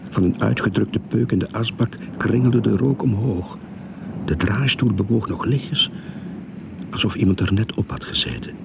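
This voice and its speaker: An actor playing the first-person narrator of a suspense radio drama, telling it in a hushed, tense way. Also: male voice, fairly low pitch, moderate pace, vocal fry. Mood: suspenseful. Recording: faint background noise.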